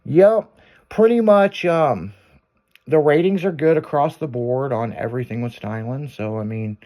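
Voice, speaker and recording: A man's voice: medium pitch, measured pace, dark tone. A male radio host talking loosely on air, his sentences wandering and trailing off into "so yeah." He swears freely and rambles in an everyday middle voice, laid-back and unscripted. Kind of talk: casual on-air chat.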